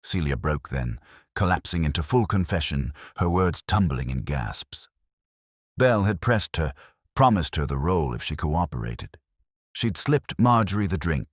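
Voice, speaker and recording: A man narrates a detective story in an extremely low voice. His pitch moves around in a lively way as he reads the scripted first-person prose. The sound is dry. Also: slightly rough, no room sound.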